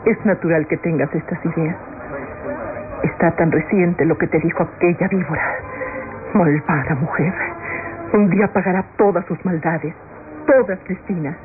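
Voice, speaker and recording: A woman's voice: lowish voice, moderate pace, long pauses, lightly acted. A narrow, tinny old radio recording with music behind carries an actress as a loyal, protective woman confidante. She speaks with earnest, emphatic melodrama in a Latin American accent, as in a classic radio soap. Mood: indignant. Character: protective, indignant female friend, loyal confidante. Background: dramatic music.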